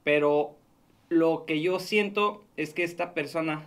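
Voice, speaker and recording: A man's voice: on the high side, a little slow. A man in his thirties with a Mexican accent, explaining a loan scam on a radio show from a small studio booth. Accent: Mexican Spanish. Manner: earnest, warning, explanatory. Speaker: radio show consumer-fraud reporter. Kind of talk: radio talk segment. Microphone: studio mic on boom arm.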